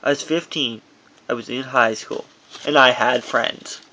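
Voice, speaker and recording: A man reading his own first-person memoir as audiobook narration, in a halting delivery that stumbles over words. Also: mid-range voice, steady tone, sharp tone, a little hiss or hum, poor audio.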